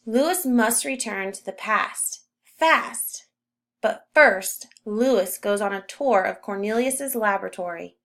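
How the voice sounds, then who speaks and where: A woman narrating a children's story as a read-aloud, with expressive emphasis on key words. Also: medium pitch, gravelly. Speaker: storybook reader.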